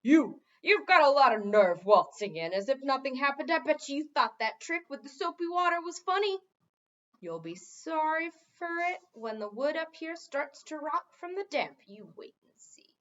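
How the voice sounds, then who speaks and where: A woman voicing a cranky, insulting wooden gargoyle who shouts and grouses indignantly in a storybook reading. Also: high-pitched, sing-song, normal speed, muffled recording, pitched above the performer's own voice. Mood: angry threatening.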